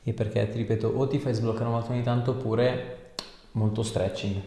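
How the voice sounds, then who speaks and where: A man's voice: on the low side, level tone, a little fast, warm tone. A man, a chiropractor casually instructing and reassuring a patient. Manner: reassuring, informal. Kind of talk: casual hands-on instruction to a patient during treatment. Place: small treatment room, indoors. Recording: echoey small room, background noise.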